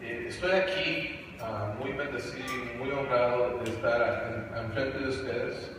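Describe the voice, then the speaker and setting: A young man from Canada speaks to a congregation about faith, stumbling over words with frequent fillers and restarts. His voice carries from a distance in a big reverberant hall. Steady background noise hangs under it. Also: medium pitch, unhurried. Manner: hesitant, many fillers and restarts. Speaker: guest speaker on evangelism. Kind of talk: church testimony talk. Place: large church hall. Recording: echoey hall from far off, noisy.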